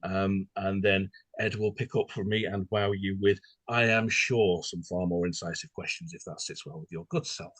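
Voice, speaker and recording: Husky, low-voiced man interviewing. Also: moderate pace, few pauses, fairly bright tone, dry recording. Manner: wordy, mock-formal politeness. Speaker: podcast host and interviewer. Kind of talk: conversational interview.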